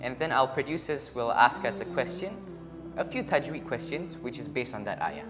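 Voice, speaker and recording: A nineteen-year-old man, briskly explaining the rules of a quiz game. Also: mid-range voice, animated, in a large hall, loud music behind the voice. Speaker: quiz contestant.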